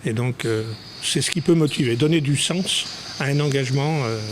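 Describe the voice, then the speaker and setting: A man's voice: medium pitch, normal speed, noisy background. A man who volunteers as a board member, explaining earnestly with frequent hesitations. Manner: hesitant, many fillers, earnest. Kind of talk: interview answers.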